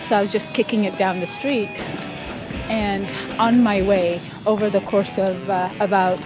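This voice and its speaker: Woman in her 30s from ethiopia, medium pitch, talks slow and thoughtful, music playing behind. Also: fairly even intonation. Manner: thoughtful, unhurried, frequent pauses and soft laughs. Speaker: interviewee, pickup soccer player. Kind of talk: casual interview storytelling.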